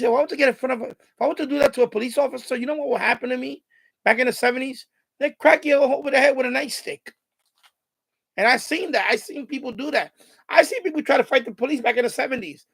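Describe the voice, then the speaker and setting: Animated older man with a Bronx accent. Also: creaky. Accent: Bronx New York. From born in Puerto Rico, raised in the Bronx. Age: 50s. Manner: animated, high intensity, worked up. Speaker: radio hobby live streamer. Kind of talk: casual live stream chat.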